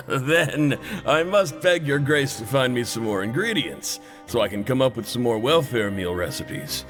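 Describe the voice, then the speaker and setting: A man's voice: mid-range voice, very animated, unhurried, fairly bright tone, soft background music. A man voicing a cheerful, deferential cafeteria cook in a video game, with polite, eager service patter. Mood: obliging, amused.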